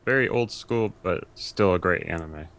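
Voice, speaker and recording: A man speaking casually as a guest on a podcast, in relaxed back-and-forth conversation. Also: low-pitched, normal speed, no room sound. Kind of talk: casual chat.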